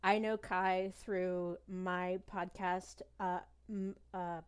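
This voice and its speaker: A woman's voice: on the low side, level tone, slow, clean tone. A woman in her thirties, a guest on a podcast, chatting casually. She rambles a little, pokes fun at herself and hesitates often with ums. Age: 30s. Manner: rambling, self-deprecating, hesitant with many ums. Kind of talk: casual chat.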